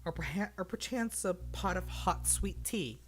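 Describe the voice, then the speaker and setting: A storyteller voicing a little hairy forest man from a fantasy storybook, gruff and bossy. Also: medium pitch, animated, unhurried, soft background music, pitched above the performer's own voice, close to an everyday voice. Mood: hopeful, wheedling.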